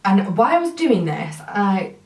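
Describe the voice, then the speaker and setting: A woman's voice: mid-range voice, big pitch swings, moderate pace, distant mic. A woman casually introducing her video with hesitant fillers. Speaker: beauty vlogger. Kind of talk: channel intro. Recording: roomy, away from the mic.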